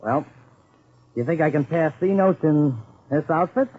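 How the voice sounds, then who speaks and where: An actor playing an eager, boastful young small-time crook in slangy, excitable 1940s radio crime drama style. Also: male voice, fairly high pitch, a little slow, husky. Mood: excited, boastful. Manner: slangy, excitable tough-guy talk. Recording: muffled, phone-thin vintage 1940s radio recording.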